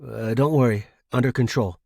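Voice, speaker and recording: A man's voice: mid-range voice, moderate pace, creaky, a little mellow, no room sound, studio quality. A man voicing a scheming, smug young male office analyst in a story, casual and sly. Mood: uneasy. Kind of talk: narrated story character dialogue.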